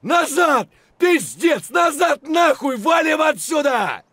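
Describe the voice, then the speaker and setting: A man's voice: extremely high pitch, very animated, slow, fairly bright tone, no room sound, raised voice, heavily acted. An actor playing an aggressive, foul-mouthed male soldier in a military video game, barking profane, urgent commands. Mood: urgent commanding. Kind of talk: shouted military game voice lines.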